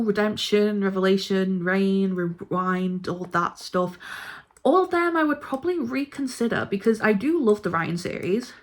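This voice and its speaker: A woman chatting casually and informally about books she ranks, with a gushing, emphatic way of talking. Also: mid-range voice. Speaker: book reviewer vlogger. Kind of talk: casual chat ranking books.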